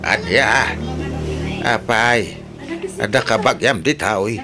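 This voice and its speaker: Deep-voiced kindly farmer uncle. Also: male voice, takes it slowly, over music. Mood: concerned, kindly. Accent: Ilocano. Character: kindly older farmer uncle, hospitable, fatherly. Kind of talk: Filipino radio drama acting.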